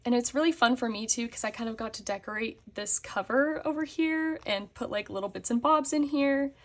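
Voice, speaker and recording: A young woman, slightly hoarse after a concert, chatting casually as she shows her planners on camera. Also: a little high, brisk. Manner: chatty, with fillers and frequent laughs. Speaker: planner vlogger. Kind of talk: casual show-and-tell chat. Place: indoors at a desk, hands on a table.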